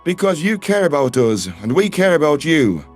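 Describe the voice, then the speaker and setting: A man's voice: on the high side, sing-song, moderate pace, faint music behind. A man acting a loyal human astronaut in a sci-fi audio drama, speaking plainly and firmly. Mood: firm, earnest. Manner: plain-spoken, firm. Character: loyal, frank human male astronaut and diplomatic envoy.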